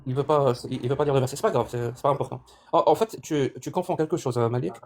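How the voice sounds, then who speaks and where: A man's voice: medium pitch, quick pace, a little hiss or hum. A man who grew up speaking Arabic, hosting a live online debate and pressing his opponent with insistent, probing questions. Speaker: debate host.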